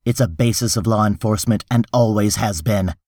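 A man's voice: fairly low pitch, a little fast, darkish tone, no echo, studio quality. Man voicing a tense, defensive, irritable official. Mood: cold, insistent. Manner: insistent, exasperated, emphatic.

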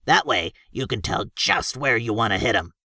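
A man's voice: fairly low pitch, lively intonation, normal speed, vocal fry, bright, forceful tone, no room sound, studio quality, full character voice. A man voicing a blunt, cheerfully rude male gnome in an audiobook. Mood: gruff gleeful. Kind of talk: audiobook character voice.

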